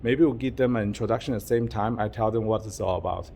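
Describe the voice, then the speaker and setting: A man's voice: lowish voice, quick pace, gravelly, mellow, slight background noise. Rambling man vlogging to camera. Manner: playful mock-secretive, rambling with fillers. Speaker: YouTuber vlogger. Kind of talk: casual vlog to camera. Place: public venue with outside view.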